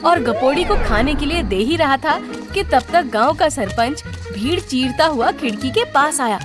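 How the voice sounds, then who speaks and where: A woman's voice: sits high, animated, moderate pace, music in the background. A woman reading scripted storybook narration as the narrator of a cartoon tale.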